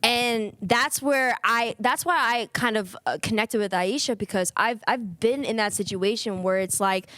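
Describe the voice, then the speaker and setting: Young woman co-host, bright higher voice, candid and animated, dry handheld-mic sound. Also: unhurried, few pauses, no room sound. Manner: animated, candid, swears freely. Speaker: podcast co-host. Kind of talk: casual group chat. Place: office lounge, indoors.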